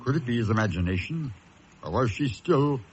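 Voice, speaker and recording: An actor playing a genteel former teacher who tells a sinister tale in a measured, suspenseful radio style. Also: male voice, medium pitch, animated, natural voice. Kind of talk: dramatic radio storytelling. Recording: dull lo-fi radio recording, music behind.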